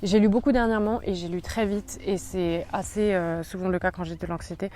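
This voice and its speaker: A woman's voice: medium pitch, fairly even intonation, fairly quick, warm tone, a little hiss or hum. A young woman chatting casually in a book vlog, rambling with many fillers. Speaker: book YouTuber.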